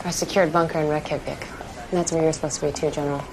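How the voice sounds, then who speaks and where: A brisk female aide gives war updates in a game cutscene. She talks quickly in a lowish voice, matter-of-fact and businesslike. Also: steady tone, noisy background. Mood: brisk, pressing. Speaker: military aide. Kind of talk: acted video game cutscene briefing.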